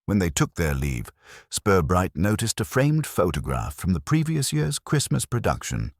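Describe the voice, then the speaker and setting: A man reading a scripted mystery story in a measured, literary way with dry understatement. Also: deep voice, wildly swooping pitch, no room sound. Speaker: narrator. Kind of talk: scripted mystery audiobook narration.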